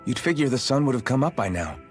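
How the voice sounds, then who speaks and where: A man's voice: mid-range voice, lively intonation, fast, close to an everyday voice. A man playing an earnest, questioning young rebel hero in a video game cutscene. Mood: puzzled. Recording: music behind.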